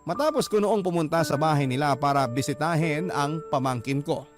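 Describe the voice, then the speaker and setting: A man's voice: a little high, animated, fairly quick. A man reading a scripted first-person letter as the narrator of a radio drama. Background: clear background music.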